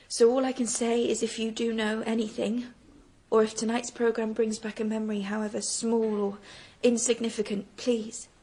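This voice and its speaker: A woman's voice: a little high, warm tone, breathy edge. An actress playing a distressed wife of a missing man, pleading in a televised appeal within a radio drama.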